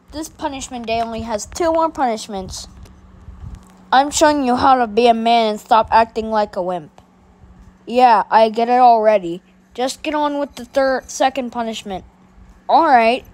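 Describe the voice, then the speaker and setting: A woman's voice: on the high side, close to an everyday voice. A woman voicing a bossy older boy who gloatingly announces punishments in a homemade skit.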